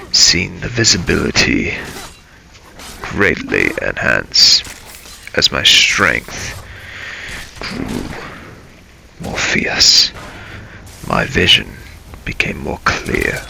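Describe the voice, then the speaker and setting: A man playing a brooding vampire adventurer, narrating his dark journey in a dramatic, halting, solemn way for a game role-play. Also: low-pitched, vocal fry, slight background noise, exaggerated voice, low-quality recording. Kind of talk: improvised in-character role-play narration over gameplay.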